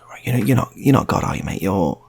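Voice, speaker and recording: A man voicing an exasperated unarmed hostage who scolds and pleads in a halting, repetitive, fatherly way, in an improvised story. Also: medium pitch, lively intonation, creaky, darkish tone, husky, in a whisper. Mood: coaxing.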